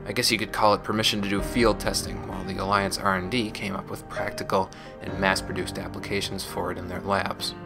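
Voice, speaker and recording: A man voicing a young former gang operative, telling painful memories in a measured, scripted audio log. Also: low voice. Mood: regretful, reflective. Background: music.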